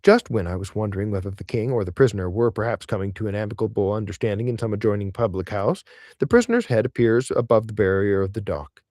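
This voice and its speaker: A man narrates a literary essay as if for an audiobook. His voice sits fairly low, and he reads with measured, deliberate emphasis. The recording is dry, with very little room sound. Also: animated, a little mellow, no room sound. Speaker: reader of an essay aloud.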